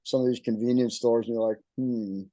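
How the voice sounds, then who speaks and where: A man's voice: medium pitch, fairly even intonation, brisk, mellow, no room sound. A man in his sixties from Texas, telling running stories in a rambling, stop-start way. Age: 60s. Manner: rambling, stop-start storytelling with frequent restarts. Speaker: guest ultrarunner. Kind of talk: casual chat.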